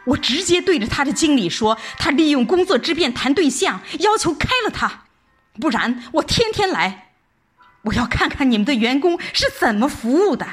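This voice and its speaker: A woman playing a furious, betrayed young woman in an audio drama, indignant, forceful and demanding. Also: sits high, very animated, normal speed, faint music behind, pitched above the performer's own voice. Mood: angry, demanding.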